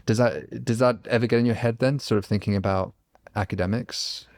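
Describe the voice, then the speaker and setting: A low-voiced man with a gravelly, creaky edge to his speech. He hosts a casual podcast chat and talks through his thoughts in an earnest, reflective way, with a rough texture under a deep voice. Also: fairly even intonation, fairly quick, a little mellow. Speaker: podcast host.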